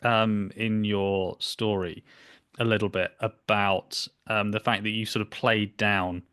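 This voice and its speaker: A man's voice: fairly low pitch, flat delivery, slow pace, a bit bright, smooth. A young man hosting a podcast in casual interview chat, with a hesitant, rambling delivery. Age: young adult. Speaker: podcast host. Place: small home room, indoors. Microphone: computer mic on a video call.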